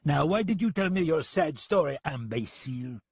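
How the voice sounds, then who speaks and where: A man performs a French gunman from a western audiobook. He has a French accent and a mocking, swaggering, unhurried delivery. The voice rides higher than plain narration and sounds dry. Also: lively intonation, slightly rough, no echo. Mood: taunting. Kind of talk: western audiobook character acting.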